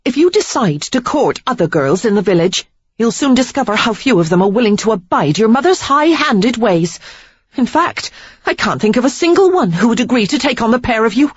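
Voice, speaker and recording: A woman narrator voicing an ardent, defiant young woman in an audiobook, speaking high and emphatically. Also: moderate pace, mellow, husky, no echo. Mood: defiant, angry. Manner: pleading then fiery, high and emphatic. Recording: slightly dull lo-fi recording.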